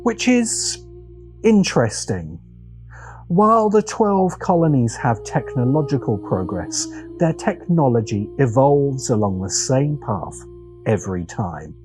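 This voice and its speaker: A man delivers scripted commentary in the manner of a video essay host. His pitch moves around a great deal as he sets phrases apart with deliberate, dramatic pauses. Music plays clearly behind him. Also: big pitch swings, a little mellow. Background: background music.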